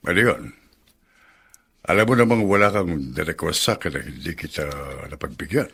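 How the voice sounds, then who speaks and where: A man playing a doting, dignified middle-aged congressman father in a Filipino radio drama, measured and theatrical. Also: low voice, lively intonation, vocal fry, a bit bright, husky, exaggerated voice. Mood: fatherly, pleased. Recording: music under the voice.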